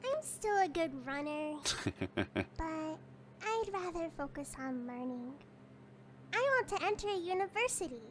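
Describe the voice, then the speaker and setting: A woman's voice: extremely high pitch, animated, unhurried, a little hiss or hum. A woman voicing a shy, studious and earnest teenage schoolgirl in a visual novel. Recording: music behind the voice.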